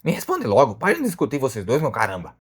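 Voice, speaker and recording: A man acts out an impatient alligator detective from Brazil's cartoon world, with a Brazilian accent. He fires questions extremely fast, his pitch leaping about in exasperation. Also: wildly swooping pitch, husky. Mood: exasperated. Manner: questioning, exclamatory. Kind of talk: animated murder-mystery dialogue.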